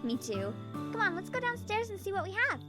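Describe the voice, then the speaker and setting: Bright young anime little sister, high voice. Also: female voice, sing-song, brisk, music in the background. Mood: upbeat, eager. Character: cheerful, teasing teenage little sister in anime game. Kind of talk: scripted visual novel voice acting.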